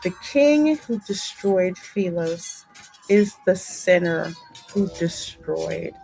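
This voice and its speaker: A woman hosting a game playthrough, reading the story in a deliberate, dramatic way with casual asides. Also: on the low side, expressive, vocal fry, mellow, faint music behind, poor audio. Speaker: gaming channel host and narrator.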